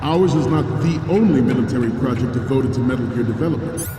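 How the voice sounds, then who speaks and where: A man's voice: mid-range voice, fast talker, natural voice. A man playing a stern, proud military commandant in a video game, delivering a formal, declamatory speech. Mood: stern, resolute. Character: stern, proud male Marine Corps commandant. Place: echoing large hall, heard from far off. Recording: distant, echoey large hall, background noise.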